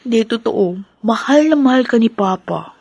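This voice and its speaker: A woman playing an affectionate, playful young daughter in a radio drama, with sweet, expressive delivery. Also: on the high side, moderate pace, creaky, no room sound, muffled recording. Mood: playful, teasing. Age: young adult.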